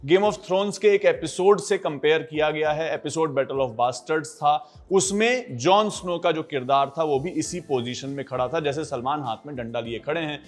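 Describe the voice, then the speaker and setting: A man's voice: a little high, normal speed, hardly any pauses. A man reading a scripted news explainer as a narrator.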